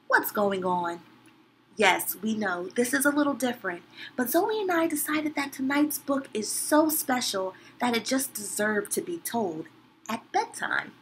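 A woman's voice: sits high, slight background noise, poor audio. A young woman hosting a children's story show with a playful, scripted introduction. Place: small indoor decorated room.

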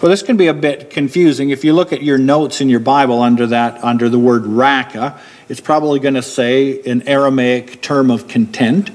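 A middle-aged man delivers a sermon at a church pulpit, with his voice taken through a pulpit microphone. He explains his points steadily and slows down deliberately when he wants one to land. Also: mid-range voice. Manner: steady, explanatory preaching, slowing down to stress key points. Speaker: preacher. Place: church hall with a wooden pulpit.

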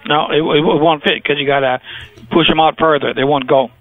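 A man's voice: a little high, steady tone, brisk, dry recording. A man on a phone call, answering in a terse, patient, matter-of-fact way. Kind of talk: phone conversation. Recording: muffled, dry phone line.

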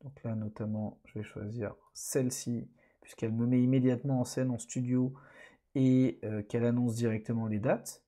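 Relaxed man explaining screens. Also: medium pitch, normal speed. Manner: relaxed, hesitant, many fillers. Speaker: online course instructor. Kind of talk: screen-recorded tutorial narration.

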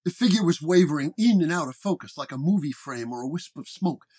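A man's voice: fairly high pitch, animated, a little fast, a little mellow, breathy edge. An actor playing a weary, wounded 1930s detective who dramatically narrates his case report. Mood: weary, reflective. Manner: dramatic first-person storytelling. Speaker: narrator-performer.

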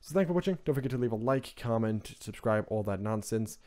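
A man from Norway hosting a casual software tutorial in English as a second language, talking in a rambling, offhand way with informal asides. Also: on the low side, expressive, brisk. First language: Norwegian. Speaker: tutorial host. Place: indoors at a computer, screen recording voice-over.

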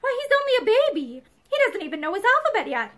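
A woman's voice: extremely high pitch, wildly swooping pitch, moderate pace, pushed and bright, voice pushed up, exaggerated voice. A woman voicing a timid, emotional young wife in a storybook, earnest and easily hurt. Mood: indignant. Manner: faltering, earnest, protesting.

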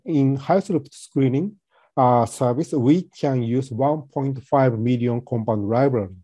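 Halting soft-spoken man presenting. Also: mid-range voice, husky, no echo. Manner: halting, many fillers and restarts. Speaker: company presenter. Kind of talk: webinar presentation.

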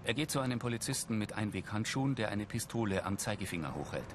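Low-voiced man narrating audio description. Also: expressive, fast, heavy background noise. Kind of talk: scripted narration. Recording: music behind the voice.

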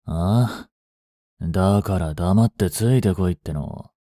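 A man playing a blunt, languid young delinquent and protective childhood friend, drawling gruffly and teasingly in an intimate audio drama. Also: low voice, slow, warm tone, no echo, studio quality. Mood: gruff concern.

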